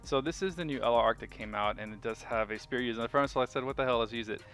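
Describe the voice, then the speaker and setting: A man's voice: mid-range voice, rapid-fire, a bit bright. A man giving casual gameplay commentary as a video host, informal and rambling as he thinks aloud. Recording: music behind the voice. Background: music.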